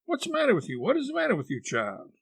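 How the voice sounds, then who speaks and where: A man voicing a flustered, scolding old aunt, brisk but soft-hearted, in an audiobook reading. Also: very high-pitched, wildly swooping pitch, creaky, breathy edge, dry recording, clean studio sound, voice pushed up, full character voice. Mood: panicked.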